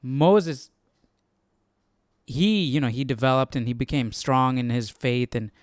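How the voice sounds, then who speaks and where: A man's voice: medium pitch, measured pace, no room sound, studio quality. A man hosting a casual live Bible study, musing aloud and often checking with a questioning tag. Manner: musing, tags sentences with "right?". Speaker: host.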